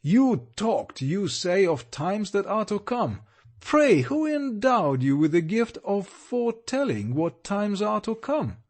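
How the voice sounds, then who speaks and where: Brief: stern, wrathful older gentleman in a storybook, read by a male narrator, somewhat high voice. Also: sing-song, measured pace, full character voice. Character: stern, haughty older man, a guardian.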